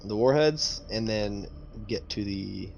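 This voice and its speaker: A man discusses his moves casually as a tabletop game player. He speaks haltingly in a low voice with plenty of fry, over faint noise. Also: a little mellow. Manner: hesitant, halting.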